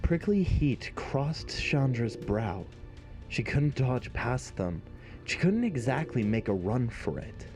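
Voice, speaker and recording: A man narrating a scripted fantasy story in a measured, dramatic way. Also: mid-range voice, very animated, over music.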